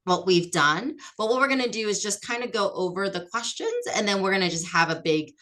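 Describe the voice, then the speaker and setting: Bright-voiced woman hosting a chat. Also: medium pitch, lively intonation, a little fast. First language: not French. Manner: friendly, chatty with fillers. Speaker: host. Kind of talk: casual interview chat.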